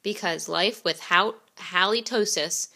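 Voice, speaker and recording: A woman reading a children's picture book aloud in an expressive storytelling way. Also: on the low side, slow. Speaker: reader.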